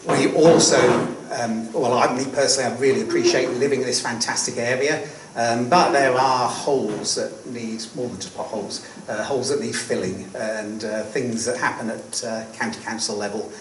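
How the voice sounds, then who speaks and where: A man's voice: medium pitch, steady tone, normal speed. A man giving a short, friendly but hesitant hustings speech as a candidate. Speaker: election candidate. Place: public meeting hall. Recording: roomy hall, distant mic, noticeable noise.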